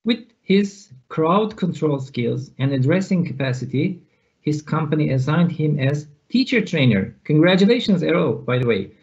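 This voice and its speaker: A man hosts an online conference over a video call, his voice sitting a little high. He reads guest introductions slowly and hesitantly, with plenty of fillers. The audio is dull and lo-fi. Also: big pitch swings, darkish tone. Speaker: conference host. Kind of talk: hosting an online teacher conference.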